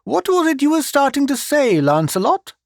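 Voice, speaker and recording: A man's voice: big pitch swings, moderate pace, vocal fry, dry recording, studio quality, exaggerated voice. A man voicing a fatuous, doting old rich man in a storybook reading. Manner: fond, fussy and high-pitched.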